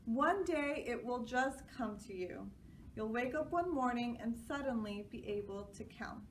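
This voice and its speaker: A woman's voice: fairly high pitch, expressive, a little slow. A woman voicing a firm but patient female schoolteacher in a children's storybook, emphatic and instructive. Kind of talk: children's storybook read-aloud. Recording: distant mic, clear background noise.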